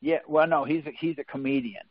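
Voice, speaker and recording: A man's voice: a little high, fairly even intonation, brisk, dry recording. A man teaching informally on a group call, speaking in a folksy, insistent way and often checking that listeners follow. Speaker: teacher leading a conference call. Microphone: phone conference line. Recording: dull, lo-fi conference call audio.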